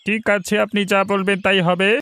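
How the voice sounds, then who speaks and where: High-voiced, reassuring police officer. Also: male voice, completely flat delivery, fairly quick, fairly bright tone, slight background noise. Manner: obliging, reassuring. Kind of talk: Bengali fairy-tale cartoon dubbing.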